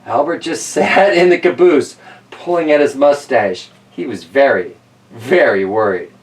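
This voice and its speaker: A man's voice: medium pitch, unhurried, distant mic. A man reading a children's story aloud, with casual, folksy, rambling asides as host.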